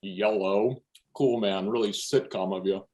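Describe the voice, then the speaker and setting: A man's voice: on the low side, steady tone, unhurried, a little mellow, close to an everyday voice. An actor in a play table read over video call, playing a crude, cocky male buddy with a profane, sarcastic manner. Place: remote video call reading.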